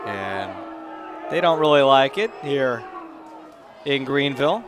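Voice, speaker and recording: A man's voice: medium pitch, animated, slow, music in the background, a crowd in the background. A young man doing live baseball play-by-play commentary over outdoor ambient noise. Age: young adult. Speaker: play-by-play announcer. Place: outdoor ballpark during a live game. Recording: noisy outdoor ballpark ambience.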